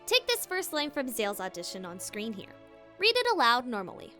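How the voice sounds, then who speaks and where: Casting: female tutorial host, high-pitched, playful and theatrical, lively swings in intonation. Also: fairly quick, soft background music. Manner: animated, theatrical emphasis. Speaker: voice actor and video host. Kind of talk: scripted, playful tutorial narration.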